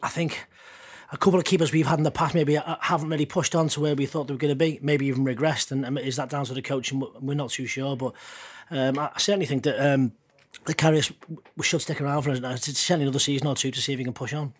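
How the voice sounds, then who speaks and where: Man, quick talker, casual football podcast chat, repeats words, middling pitch. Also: fairly even intonation, slightly rough. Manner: chatty, loose, repeats words. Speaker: podcast pundit.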